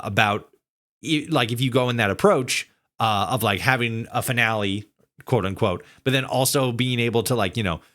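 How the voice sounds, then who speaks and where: Halting, rambling male podcast host. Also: lowish voice, a bit bright. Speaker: podcast host interviewing a guest. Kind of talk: casual conversational interview.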